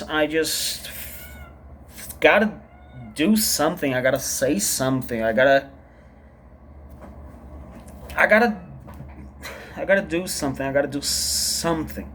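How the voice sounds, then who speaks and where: A man in his thirties from Brazil, a native Portuguese speaker with a Brazilian accent, talking to camera in a confessional solo vlog, halting and heavy, often repeating himself. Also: fairly high pitch, slight background noise. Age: 30s. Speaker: channel creator. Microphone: camera recording himself.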